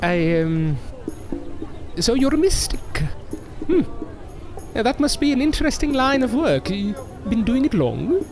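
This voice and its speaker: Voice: mid-range voice, very animated, measured pace, music in the background. A performer playing a proud but polite circus newcomer in an audio drama, with an earnest, formal and sometimes hesitant manner. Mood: awkward, polite.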